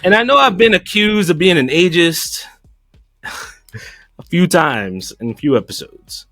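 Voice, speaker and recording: Lively, bright-voiced man in casual chat. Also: measured pace, no echo, studio quality, faint music behind. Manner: animated, laughing, swings from low asides to loud outbursts. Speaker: podcast co-host.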